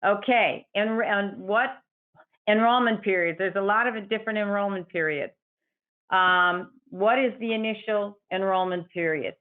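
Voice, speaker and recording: A woman's voice: mid-range voice, a little slow. Woman explaining on a tinny line. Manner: patient, explanatory, quotes clients in their own words. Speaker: Medicare insurance advisor. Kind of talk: explaining in an interview.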